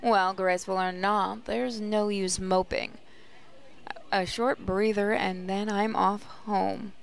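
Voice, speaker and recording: A woman's voice: medium pitch, flat delivery, takes it slowly, creaky, smooth. A woman reading visual novel narration aloud in a reflective, storytelling way. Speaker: gaming channel host, reader.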